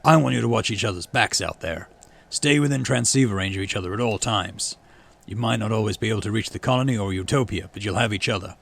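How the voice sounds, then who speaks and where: A man's voice: fairly low pitch, fast talker, vocal fry, a bit bright. A man voicing a stern, commanding male security chief from a sci-fi game. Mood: insistent. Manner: firm, commanding.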